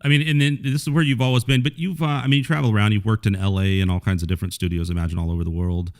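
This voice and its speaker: A man hosting a podcast, asking easygoing questions and checking with a quick "right?". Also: lowish voice, fairly even intonation. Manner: laid-back, chatty, asks leading questions with "right?". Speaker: podcast host. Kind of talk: casual interview chat.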